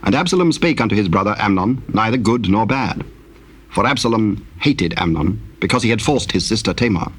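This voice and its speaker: A man narrating a dramatized Bible, telling the story solemnly in measured, archaic English. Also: on the low side, animated, fairly bright tone, breathy edge.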